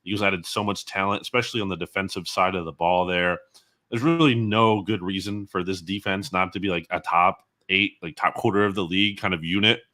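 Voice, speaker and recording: A man's voice: low voice, fairly even intonation, a little fast, sharp tone. A man giving conversational, opinionated sports commentary as an interview guest, often qualifying his points. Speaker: guest football analyst.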